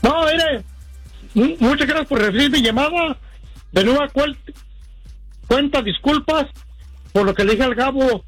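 A man's voice: very high-pitched, unhurried, pauses often, a bit bright, dry recording, soft background music, low-quality recording. A man from Mexico with a Mexican accent, calling a radio show and chatting casually, often stumbling and repeating words. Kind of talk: casual chat.

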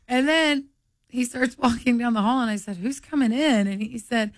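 A woman's voice: fairly high pitch, normal speed, no room sound, clean studio sound. A woman, co-hosting morning radio and telling stories with laughter. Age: late 30s. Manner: laughing, storytelling, quoting herself. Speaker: radio morning show co-host. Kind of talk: casual on-air banter.

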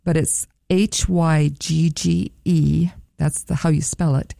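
A woman with a low, mellow voice that has a gravelly edge talks up a museum's events and prices in a friendly, promotional way. The sound is dry and close, with no room echo. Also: steady tone, slow. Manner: upbeat, promotional, careful over a foreign word. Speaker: museum staff guest. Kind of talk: radio interview chat.

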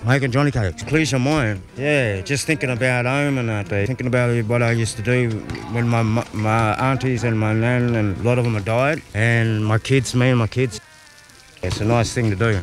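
A man speaks quietly and reflectively in a mid-range voice. Faint music plays underneath him. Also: medium pitch, steady tone, normal speed. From New South Wales, Australia. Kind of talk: casual reflective talk.

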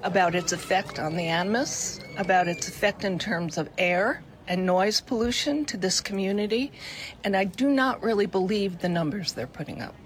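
A woman's voice: medium pitch, lively intonation, normal speed, a little hiss or hum. A woman, a local resident, giving her view in a spoken news interview. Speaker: local resident at public meeting.

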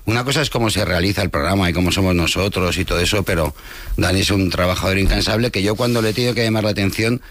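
Low-voiced man, unhurried. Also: a bit bright. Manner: unhurried, reflective, deliberate. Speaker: comedy show host. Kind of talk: radio conversation. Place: radio studio.

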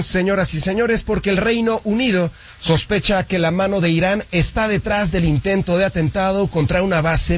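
A man with a notably high voice co-hosts a morning radio show. He is easygoing in the chat and turns formal, addressing the audience, when reading news in the studio. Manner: easygoing, laughs along, formal in headlines. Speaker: radio co-host, sports presenter.

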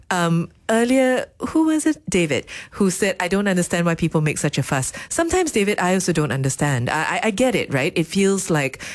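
Low-voiced chatty radio host woman. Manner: chatty, self-deprecating, laughs often. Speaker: radio talk show host. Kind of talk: casual radio talk.

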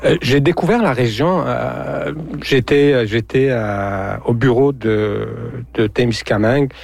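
A man's voice: medium pitch, slow, creaky, darkish tone. A man from Algeria, a radio journalist, speaking as a guest in a radio interview and hesitating with many fillers and repeated words.

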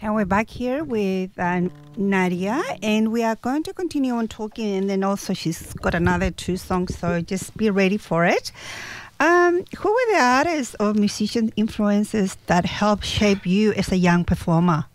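A woman's voice: medium pitch, lively intonation, normal speed, slight background noise. A woman hosting a radio interview in a studio, reading out prepared questions with brief replies in between. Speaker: radio host.

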